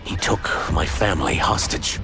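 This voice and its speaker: A low, grave reptilian shapeshifter for a video game story. He is an earnest, remorseful outcast who speaks in measured phrases. Music plays clearly behind him. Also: expressive. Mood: sombre recollection. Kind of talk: cinematic video game acting.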